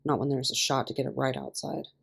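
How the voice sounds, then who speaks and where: Woman voicing a curt, gruff male inmate, creaky. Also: rumbling low, little pitch movement, fast talker, exaggerated voice. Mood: firm, defensive. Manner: curt, mumbling, slangy.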